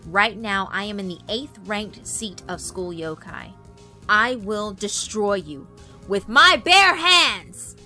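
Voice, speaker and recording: Woman as a forceful, righteous schoolgirl yokai. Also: mid-range voice, animated, slow pace, soft background music, full character voice. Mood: defiant resolve. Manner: forceful, defiant, earnest. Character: fierce, righteous schoolgirl yokai with monstrous strength. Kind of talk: horror visual novel character acting.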